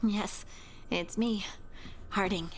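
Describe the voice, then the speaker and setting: A woman's voice: medium pitch, steady tone, slow pace, close to an everyday voice. Casting: actress as female dwarf scout for a fantasy game, determined and caring, with an uneasy edge, music underneath. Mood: concerned. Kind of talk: video game dialogue.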